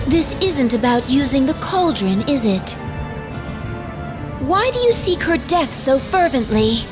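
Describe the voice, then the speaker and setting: A woman's voice: sits high, big pitch swings, a little slow, over music. A woman voicing a proud, dutiful young warrior princess in a fantasy video game, with formal, earnest delivery. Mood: earnest, guarded. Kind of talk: dramatic game dub acting.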